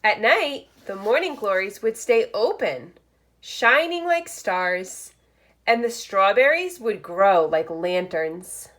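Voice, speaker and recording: A woman reading a picture book aloud to young children like a teacher, in expressive, deliberate short phrases with asides. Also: medium pitch.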